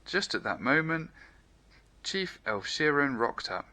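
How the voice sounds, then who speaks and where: A man reading a children's story aloud in an expressive, playful, emphatic way. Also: on the high side, a little slow.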